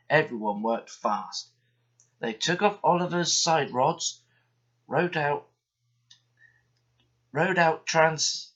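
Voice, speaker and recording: A man reading a children's bedtime story aloud in an expressive, steady manner. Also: mid-range voice, highs cut off.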